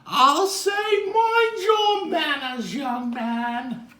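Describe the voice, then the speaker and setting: A man's voice: very high-pitched, drawn-out words, bright, forceful tone, roomy sound, voice pushed up, heavily acted. A man voicing a prim, bossy lady letter who scolds in a children's story. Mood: scolding.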